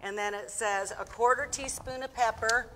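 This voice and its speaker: A woman's voice: fairly high pitch, steady tone, normal speed, background noise, close to an everyday voice. A woman playing a folksy, chatty Midwestern housewife who hosts a comedic live cooking show, addressing folks directly. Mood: folksy, instructive.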